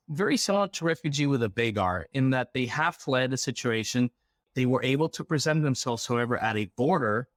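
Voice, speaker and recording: A man from Ecuador speaks as an interview guest in a very dry-sounding space. His voice sits at a middle pitch, and he explains things conversationally, often checking in as he goes. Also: no room sound. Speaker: interview guest, refugee program worker.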